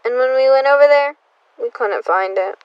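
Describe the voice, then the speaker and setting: A woman's voice: high voice, normal speed, clean tone, no echo, muffled recording, natural voice. A young woman playing an earnest child, a loyal playmate, in 1930s radio serial style. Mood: apologetic. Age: teenager or young adult.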